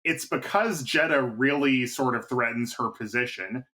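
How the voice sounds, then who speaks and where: A man co-hosts a podcast in a casual, conversational way. His voice sits at an ordinary middle pitch for a man. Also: normal speed, sharp tone. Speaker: podcast co-host. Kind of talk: casual chat.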